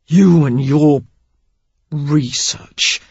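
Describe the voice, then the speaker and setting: A hoarse, snarling Cockney man, as a reader acts him out, brooding and barely holding himself back. The voice sits high for a man and is husky, on a dry, dull lo-fi recording. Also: lively intonation, normal speed, no echo. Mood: intense. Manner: intense, clipped commands. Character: rough passionate young man, brooding and barely restrained. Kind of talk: audiobook character voice.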